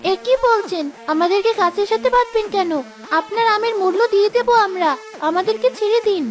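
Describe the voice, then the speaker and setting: A woman's voice: very high-pitched, brisk. A woman voicing an earnest, protective village girl, the elder sister, in a children's cartoon. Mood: pleading, alarmed. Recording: music behind the voice.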